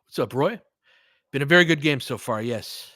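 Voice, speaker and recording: A man with a bright, forceful voice hosts casual live sports commentary. He talks conversationally, as if straight to his listeners, moving between play-by-play and analysis. The sound is close and dry. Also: mid-range voice, lively intonation, normal speed, dry recording. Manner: conversational, chatting back to listeners, mildly profane.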